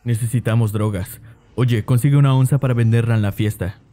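An actor playing a cocky, self-assured teenage schoolboy with a Latin American Spanish accent in a dubbed teen drama. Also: male voice, mid-range voice, fairly even intonation, a little fast, warm tone, close to an everyday voice. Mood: bossy, casual. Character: cocky, smooth-talking teenage schoolboy ringleader.

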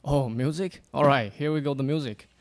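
A man's voice: mid-range voice, moderate pace, lightly acted. A young man playing a cheeky, smug student sound guy in a comedic radio play. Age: young adult. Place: small studio room, seated at a table with stage mic.

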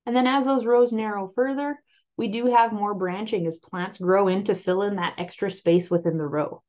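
A woman with a Canadian accent presenting on-farm crop trial results over a slide presentation, explaining them clearly and steadily. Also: fairly low pitch, darkish tone. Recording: slightly muffled voice-over.

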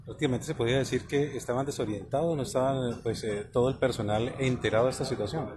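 A man's voice: mid-range voice, fairly even intonation, fast. A man with a Colombian accent, a reporter questioning an official outdoors at a press scrum, over noisy location sound. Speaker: news reporter.

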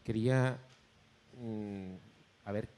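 A man's voice: lowish voice, fairly even intonation, drawn-out words, darkish tone. A man, an activist in the audience, hesitantly puts a question to a panel. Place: audience in indoor hall. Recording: faint room noise.

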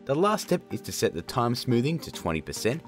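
A man in his thirties energetically hosting a software tutorial, chatty and jokey, from a home studio. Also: medium pitch, big pitch swings, fairly quick, soft background music. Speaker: video tutorial host.

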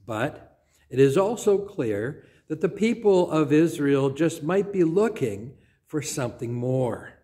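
Older man, pastor, unhurried sermon delivery, soft trailing phrase endings, small church, close camera mic. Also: a little high, very animated. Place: small church sanctuary, indoors. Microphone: phone or camera at close range.

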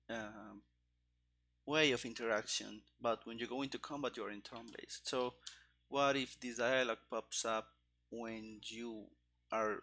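Male, thirties, casual coding streamer thinking aloud. Bright, crackly voice with plenty of fry, halting delivery. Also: medium pitch. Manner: halting, musing to himself. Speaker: streamer, game developer. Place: indoor room at a computer. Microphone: headset. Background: keyboard typing and clicking.

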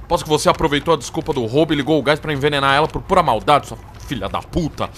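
A man's voice: a little high, rapid-fire, fairly bright tone, slight background noise, natural voice. A man playing a distraught, accusing programmer in an audio drama, agitated, stammering and sweary. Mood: furious, distraught. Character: hot-tempered, accusing male programmer, distraught and cornered. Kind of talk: scripted audio drama acting.

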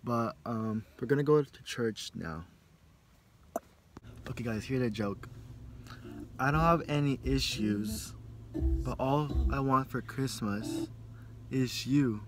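A man's voice: mid-range voice, takes it slowly, long pauses, background noise. A young man chatting informally and in a rambling way to a handheld camera for a daily vlog. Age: young adult. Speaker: vlogger. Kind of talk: casual daily vlog chat. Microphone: phone or camera at arm's length.

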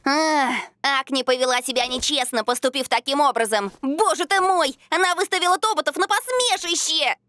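A woman's voice: sits high, normal speed, hardly any pauses, bright, forceful tone, no echo. A woman playing an earnest, easily upset young boy in a cartoon dub. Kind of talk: animated series dub acting.

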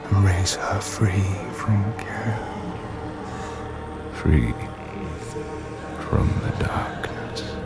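An ancient, ominous spirit narrates dark myth in a video game. The voice is extremely deep and full of gravel, often sinking into breath. Each phrase comes slowly and solemnly, over loud music. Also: male voice, full character voice. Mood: grave, sorrowful. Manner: slow, portentous, half-whispered delivery.

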